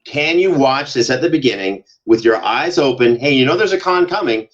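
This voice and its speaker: A man speaking as a podcast guest in casual interview chat, telling anecdotes in an animated way and acting out exchanges. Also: medium pitch, normal speed, highs cut off. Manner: animated storyteller, acts out quoted exchanges. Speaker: podcast guest, audio drama producer.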